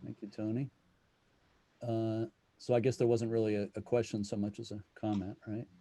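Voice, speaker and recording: A man's voice: fairly low pitch, little pitch movement, normal speed, noisy background. A man casually moderating a question session on a video call as the host. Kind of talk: casual moderating of an online meeting.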